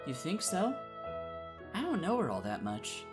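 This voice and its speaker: Shy, animated teenage boy voiced by a woman. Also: on the low side, a little slow. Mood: self-doubting. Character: shy, self-doubting lonely teenage boy Ventus. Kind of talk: anime game fan dub acting. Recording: music behind the voice.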